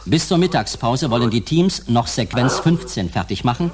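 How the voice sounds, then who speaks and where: A man's voice: medium pitch, lively intonation, moderate pace, over music, natural voice. A man playing a television documentary reporter in a radio drama, narrating in a matter-of-fact scripted style. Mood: factual. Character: factual male television documentary reporter on location.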